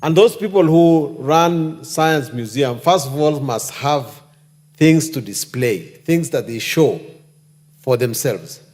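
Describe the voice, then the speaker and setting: Slow, measured man in parliament. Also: mid-range voice, lively intonation, a little mellow. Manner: measured, with fillers and pauses. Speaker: member of parliament. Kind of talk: parliamentary debate speech. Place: parliamentary chamber.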